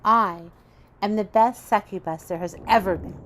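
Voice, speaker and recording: A woman's voice: mid-range voice, moderate pace, fairly bright tone, slight background noise, lightly acted. A woman playing a proud, obsessive, seductive succubus in an audio horror drama, boastful and defiant.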